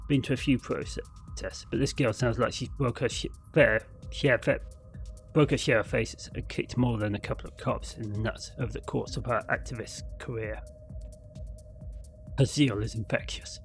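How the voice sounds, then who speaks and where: A man with a medium-pitched voice narrates a visual novel. He reads scripted prose in a dramatic storytelling manner, occasionally tripping on a word. Soft music plays faintly behind him. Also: vocal fry. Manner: dramatic storytelling with occasional stumbles over words. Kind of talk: scripted narration read aloud.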